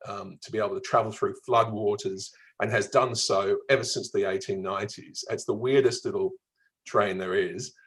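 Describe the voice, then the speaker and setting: A man's voice: fairly low pitch, a bit bright, slightly rough, low-quality recording. A man from Melbourne with a mild Australian accent, telling travel stories conversationally as an interview guest. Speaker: travel writer being interviewed.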